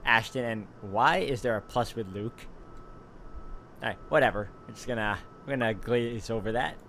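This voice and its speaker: A man playing a visual novel, reading its narration aloud in a steady, even way with relaxed asides. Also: medium pitch, moderate pace, a little hiss or hum. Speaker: gaming YouTuber. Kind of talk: reading game text aloud with commentary.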